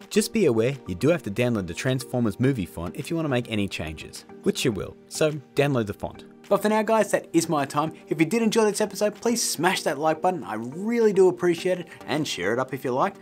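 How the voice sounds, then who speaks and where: Lively man presenting a tutorial. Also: medium pitch, quick pace, hardly any pauses. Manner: informal, addresses viewers as guys. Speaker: host of audio effect tutorials. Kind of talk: casual tutorial narration. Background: background music.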